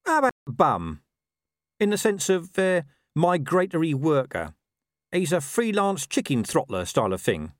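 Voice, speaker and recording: Guy doing a scruffy cynical talking dog for an audiobook, wheedly and sly, higher pitched, bouncy intonation. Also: huge pitch swings, a little slow, no echo, clean studio sound. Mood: defensive, sly. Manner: colloquial, sly, world-weary asides.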